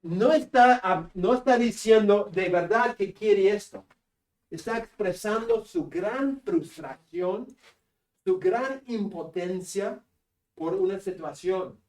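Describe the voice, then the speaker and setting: A man with a northern Peruvian Spanish accent giving a seminary class lecture, joking with students and pausing on fillers. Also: high-pitched, a little mellow. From Peru. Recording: echoey classroom from a distance.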